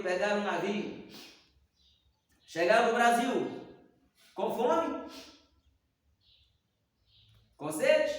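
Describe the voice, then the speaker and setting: A man teaching a church Bible lesson, telling stories and acting out the dialogue. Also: very high-pitched. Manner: animated storyteller acting out dialogue. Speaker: Sunday school teacher. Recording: echoey hall, distant mic.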